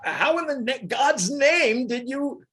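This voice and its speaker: A man as high, husky, flustered detective. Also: expressive, bright, forceful tone, full character voice. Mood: startled. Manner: halting, flustered. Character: sheepish detective unmasked as fake Polish anarchist. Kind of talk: radio play read aloud.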